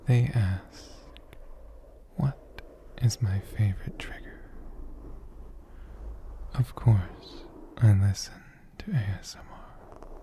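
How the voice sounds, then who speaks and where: A man doing soft-spoken, whispered ASMR in a gentle, deliberate manner, close to a condenser mic. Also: lowish voice. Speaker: ASMR creator answering viewer questions. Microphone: USB condenser mic, close up.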